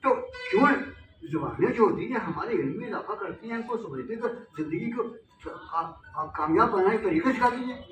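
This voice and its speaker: Man, newspaper editor, passionate seminar speech, high voice, roomy hall, far from the mic. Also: lively intonation, normal speed, a bit bright, faint music behind. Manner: rhetorical, repeatedly asking the audience "हैं?". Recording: echoey hall recorded from afar.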